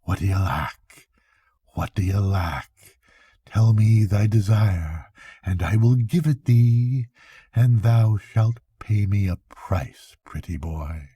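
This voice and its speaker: A grandfather voicing a cunning young witch in a bedtime story, coaxing and chanting as she peddles dark spells. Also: fairly low pitch, breathy edge, dry recording, clean studio sound, exaggerated voice. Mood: coaxing, sly. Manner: chanting, repetitive, drawn-out coaxing.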